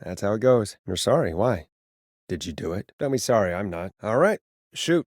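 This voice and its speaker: A man's voice: lowish voice, big pitch swings, normal speed, a little mellow, no echo, clean studio sound. An actor playing a sardonic, easily exasperated male late-night radio host in a video game, with a wry, conversational delivery. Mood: wry, subdued.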